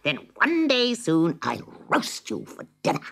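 A woman's voice: lowish voice, huge pitch swings, a little slow, vocal fry, heavy background noise, voice pushed up, heavily acted. A woman voicing a cruel, short-sighted old witch who snaps, shrieks and gloats. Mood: snapping.

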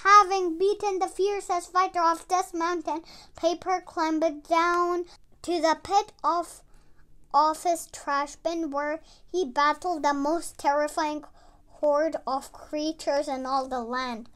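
Storybook reader, very high-pitched and bright, slow measured pace, dramatic and expressive. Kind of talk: animated storybook read-aloud.

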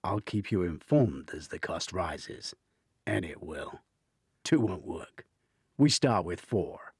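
A man acts out a gruff agency boss in a thriller audiobook. He bargains in a curt, folksy, tough manner. The voice is low and husky, recorded dry and close. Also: very animated, slow pace, dry recording, exaggerated voice. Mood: curt, firm.

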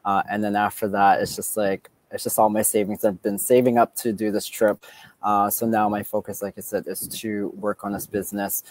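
A man in his forties from Canada telling his story on a video call, relaxed and full of fillers, outdoors with earbuds. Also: on the low side, normal speed, dry recording, rough recording. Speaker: guest speaker. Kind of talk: casual personal story. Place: outdoors by a beach. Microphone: earbud headset on video call.

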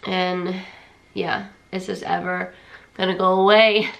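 Lady in her 40s vlogging at home, stuffy nose, rambly, slow and kinda low. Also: flat delivery, creaky, fairly bright tone, poor audio. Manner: rambling, many fillers and restarts. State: congested. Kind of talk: casual chat. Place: small room at home, indoors. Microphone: camera or phone at arm's length.

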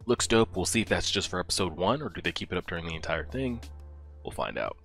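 Very deep-voiced young man, casual commentary. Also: sing-song, quick pace, creaky, fairly bright tone, faint music behind. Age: young adult. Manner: informal, musing aloud in short remarks. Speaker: reaction video host. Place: small indoor room at home. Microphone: headset boom mic.

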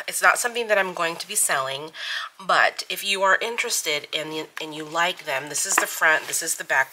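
Bright-voiced, sniffly woman chatting. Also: deep voice, a little hiss or hum, low-quality recording. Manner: rambling, many ums, giggly. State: sniffly from allergies. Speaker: planner and savings channel host. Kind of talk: casual chatty voice-over. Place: home craft desk. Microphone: overhead camera on desk, hands only.

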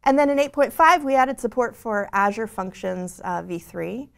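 A woman in her forties explaining software features on a studio stage, fluent but full of "you know" fillers and small restarts. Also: a little high, lively intonation, moderate pace, creaky. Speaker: product lead presenting the software. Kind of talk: scripted-feeling tech keynote conversation. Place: bright studio stage with screen behind.

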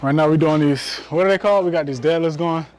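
A man's voice: fairly high pitch, quick pace, a little mellow, soft background music. A young man in a large indoor gym, chatting casually and easygoing about his workout on a clip-on mic. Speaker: football player vlogging his workout.

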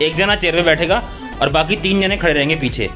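A man hosting a casual family vlog. He chats, laughs often and rushes through explanations of game rules. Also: a little high, pushed and bright. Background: background music.

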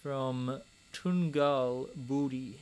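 Brief Young adult man, mid-pitched voice. Casual live-stream host who rambles and answers viewer comments as he works at a small home desk. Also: fairly even intonation, drawn-out words, a little mellow, smooth. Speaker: stream host polishing gemstones. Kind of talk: casual live-stream chat while working. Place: small home desk corner with a rotary tool running.

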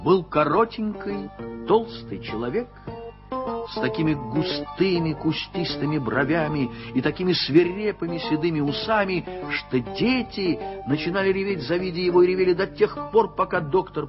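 Male narrator for a vintage radio play: measured storytelling, dull old sound with music behind. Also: a little high. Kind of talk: scripted literary narration.

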